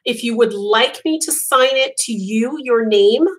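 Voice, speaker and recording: A woman's voice: a little high, unhurried. A woman whose first language was Italian, hosting a casual live chat as a crochet designer, rambling with frequent ums and restarts.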